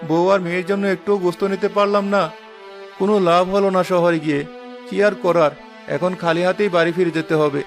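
A man's voice: sits high, level tone, quick pace, faint music behind. A man playing a meek, humble, poor village father in a cartoon. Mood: dejected, apologetic. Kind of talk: cartoon voice acting.

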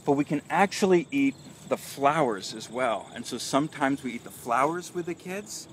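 A man's voice: mid-range voice, lively intonation, normal speed. A man in his thirties walks through an outdoor vegetable garden, explaining the crops with easy enthusiasm. He is recorded on a phone held a few feet away. Insects and distant traffic hiss steadily behind him. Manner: enthusiastic, explanatory, walking and pointing at plants. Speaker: urban farm manager giving a tour. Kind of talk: live guided tour. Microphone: phone camera a few feet away.

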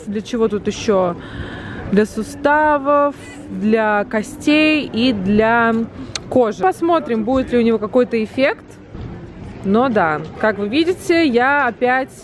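A woman's voice: on the high side, background noise. A young woman from Latvia chatting animatedly to her viewers, filmed on a phone held at arm's length on a street, in shops and in a car. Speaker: vlogger. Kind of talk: casual vlog to camera. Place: city street and shop, then parked car. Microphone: phone camera at arm's length.

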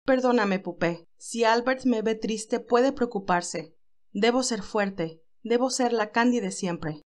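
A woman's voice: on the high side, slow. A woman voicing an earnest, tender young nurse in an audio story, emotional and caring. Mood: sad, resolute.

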